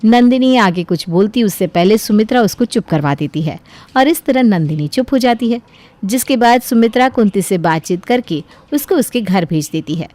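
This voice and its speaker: A woman's voice: medium pitch, lively intonation, moderate pace, mellow, no room sound. A woman narrator reading a scripted story.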